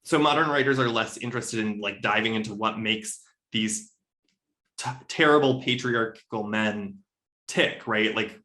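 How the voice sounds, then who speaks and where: A man's voice: on the low side, unhurried, pushed and bright. A man speaking as a literary podcast guest in casual discussion, thoughtful and self-correcting, often checking his points with a tag question.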